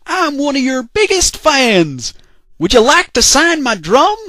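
A man's voice: extremely high pitch, big pitch swings, a little slow, pushed and bright, no room sound, studio quality, pitched above the performer's own voice, full character voice. A man voicing a starstruck, fawning creature fan in a parody dub. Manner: eager fawning.

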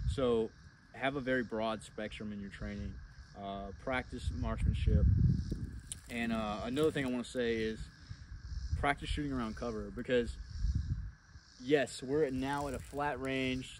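Young male instructor, mid-pitched, informal and blunt, recorded outdoors on camera with faint noise. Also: poor audio. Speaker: firearms instructor. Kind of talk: casual instructional talk to camera. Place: outdoors in a wooded clearing at a flat range.

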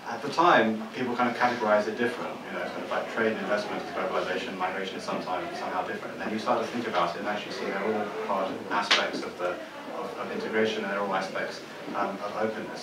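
A man's voice: lowish voice, little pitch movement, fast. A man from London, an author on a panel, speaking hesitantly with many ums and repeated words. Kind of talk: panel discussion remarks. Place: seminar room with audience. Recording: distant, roomy, noisy.

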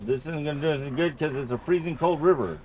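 A man giving casual let's-play commentary, thinking out loud and repeating himself as he goes. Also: a little high, fast, slight background noise. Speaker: gamer playing and commenting on a horror game.

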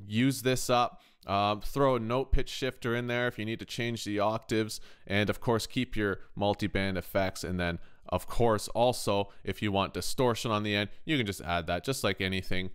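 Smooth low-voiced man giving an informal tutorial. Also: normal speed, few pauses, a bit bright. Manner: conversational, thinking aloud, often checking with "okay" and "right". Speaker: music production tutorial host. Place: indoors, voice-over on a screen recording.

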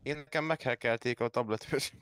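A man's voice: mid-range voice, fairly bright tone, clear, resonant tone, natural voice. A man playing a flustered, stammering cop. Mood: grumbling. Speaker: online roleplay game player. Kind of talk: improvised police roleplay, casual.

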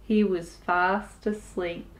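A woman in her thirties reading a picture book aloud to young children, expressive and deliberate, as a storytime host. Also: fairly low pitch. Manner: expressive, deliberate, with sound effects and asides to listeners.

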